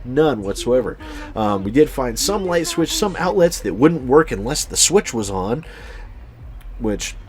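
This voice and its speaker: A man's voice: medium pitch, sing-song, measured pace, a little mellow. A man telling a casual, rambling personal story as a channel host, swearing freely with exaggerated emphasis.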